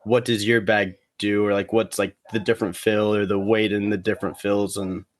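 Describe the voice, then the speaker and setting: A man chatting casually as a podcast guest, rambling and halting, with plenty of slang. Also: fairly low pitch, level tone, no echo. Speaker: guest, competitive cornhole player.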